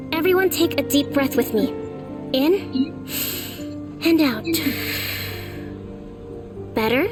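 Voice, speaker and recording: An actress playing a calm young female island leader who speaks in a measured, formal, diplomatic way. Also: sits high. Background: background music.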